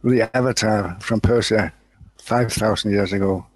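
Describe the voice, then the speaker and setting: Old danish guy, 80s, gentle halting way of talking, dry close sound, video call. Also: on the low side, steady tone, no room sound, low-quality recording. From Denmark. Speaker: audience member asking questions. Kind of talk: casual spiritual Q&A.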